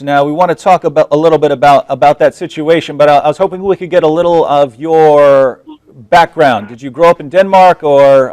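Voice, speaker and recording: A man hosting an interview show, speaking in halting, deliberate phrases. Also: on the high side, a little mellow, no echo. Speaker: host interviewing guests. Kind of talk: hosted interview talk show.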